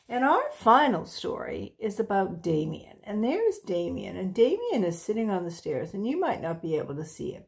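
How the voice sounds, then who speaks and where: A woman reading a children's story aloud as a volunteer, in a deliberate storytelling style. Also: mid-range voice.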